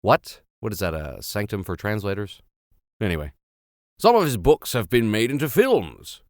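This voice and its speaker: A man reading classic literature aloud in an expressive, theatrical and playful way. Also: fairly low pitch, normal speed, no room sound, studio quality. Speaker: audiobook reader. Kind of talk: expressive narration of classic literature with casual asides.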